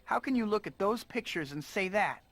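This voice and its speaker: An actor playing a young, put-upon but earnest superhero in a video game, with a wry, quipping delivery. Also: male voice, sits high, lively intonation, moderate pace, fairly bright tone, no echo. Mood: earnest, indignant.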